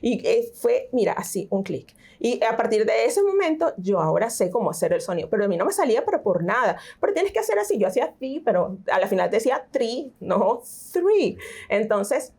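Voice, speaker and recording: A middle-aged woman with a Venezuelan accent, a teacher giving an interview and explaining reading and pronunciation with lively examples. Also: on the high side, brisk, vocal fry, slightly rough. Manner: explanatory, animated, frequent fillers. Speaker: teacher and tutor, interview guest. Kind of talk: conversational interview.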